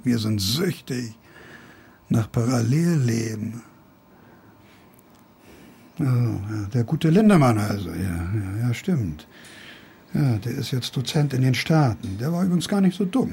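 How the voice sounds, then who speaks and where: Radio drama role: sardonic older literature professor, gravelly, badly husky, condescending, fond of grand musings. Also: male voice, medium pitch, a little mellow, heavily acted. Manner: condescending, needling, philosophizing.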